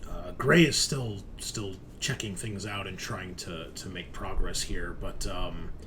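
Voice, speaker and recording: A man's voice: sits low, a little slow, fairly bright tone. A man playing an anxious, determined spaceship crew member in a tabletop game, urgent and stammering. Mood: worried, resolute. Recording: clearly noisy background hiss.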